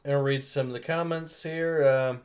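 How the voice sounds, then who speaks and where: A man's voice: mid-range voice, steady tone, measured pace. A man hosting a casual livestream, rambling through viewer comments with frequent laughs. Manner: rambling, laughs often, many fillers. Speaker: livestream host.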